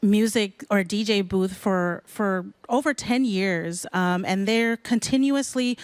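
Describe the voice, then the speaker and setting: A woman's voice: mid-range voice, takes it slowly, clear, resonant tone, no room sound. A woman from the Bay Area speaking as a DJ on a live panel, upbeat and enthusiastic. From San Francisco Bay Area. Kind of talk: panel discussion.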